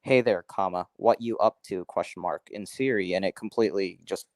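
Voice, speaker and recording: A man's voice: sits low, normal speed, no room sound. A man hosting his regular livestream, chatting casually and with strong opinions, rambling and restarting his sentences. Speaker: livestream host. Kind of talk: casual chat.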